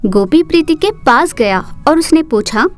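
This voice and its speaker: A woman narrating a cartoon story in a steady, expressive storytelling manner. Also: sits high, a little slow, soft background music.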